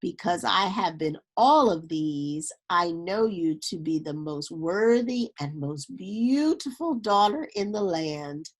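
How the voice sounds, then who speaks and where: Storybook snake king: male, wise, gentle, very measured and solemn, read by a woman. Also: lowish voice, expressive. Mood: solemn, tender. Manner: slow, solemn declarations.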